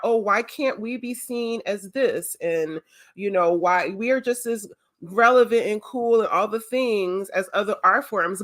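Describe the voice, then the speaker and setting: A woman's voice: medium pitch, sharp tone. A woman hosting a casual chat show, introducing her guest with emphatic, playful enthusiasm. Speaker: host.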